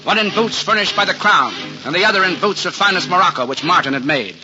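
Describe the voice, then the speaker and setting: An actor as the narrator of a gentle 1940s radio Christmas tale, telling it in a measured, literary way. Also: male voice, high voice, animated. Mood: brisk, descriptive. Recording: muffled 1940s radio recording. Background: music.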